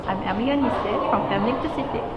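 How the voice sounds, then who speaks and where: A woman's voice: on the high side, fast talker, a loud crowd. A woman, a forum co-convener, giving a casual, chatty interview answer. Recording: muffled, echoey, very noisy background.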